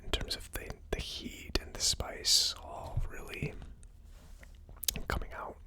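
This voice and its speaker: An ASMR host giving a hesitant, thinking-aloud tasting commentary in a breathy, unvoiced whisper. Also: slow, bright, forceful tone. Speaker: whiskey reviewer, ASMR host.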